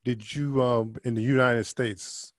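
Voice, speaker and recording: A man's voice: medium pitch, fairly even intonation, measured pace, no room sound, clean studio sound. A man co-hosting an interview, asking long, loosely built questions.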